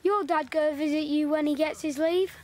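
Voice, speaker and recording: Woman playing a high-pitched, chatty Northern English child. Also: steady tone, normal speed, natural voice. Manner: chatty, colloquial, matter-of-fact. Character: chatty, cheeky wartime English country child. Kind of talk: audio drama dialogue.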